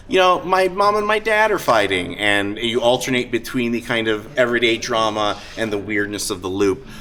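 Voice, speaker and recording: A man hosting a live show, talking casually and off the cuff, with enthusiasm, halting asides and frequent hesitations. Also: mid-range voice, pushed and bright. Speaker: host of a live-streamed tabletop roleplaying show. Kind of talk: casual off-the-cuff talk to an audience. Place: live event room at a gaming convention. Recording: live convention room with crowd noise.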